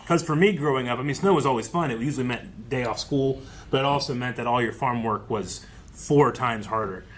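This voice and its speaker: A man's voice: medium pitch, brisk, slight background noise. A man co-hosting a reaction show, chatting casually. He agrees often and thinks aloud with plenty of "uh" and "you know". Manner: agreeable, many backchannels, hesitant with "uh" and "you know". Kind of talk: casual chat.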